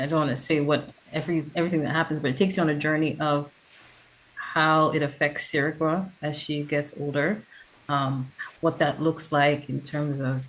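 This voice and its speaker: A woman's voice: deep voice, low-quality recording. A woman screenwriter talking informally about her own work in a Q&A.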